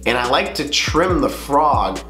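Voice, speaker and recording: A man's voice: mid-range voice, measured pace. A man with a slightly stuffy, nasal voice from a cold, talking in a laid-back, rambling way with lots of "um" and "uh". He sounds like he is in an echoey space, with faint music underneath. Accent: Upper Midwest American. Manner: relaxed rambling, many "um" and "uh". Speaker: fishing video host. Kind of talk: casual how-to talk to camera.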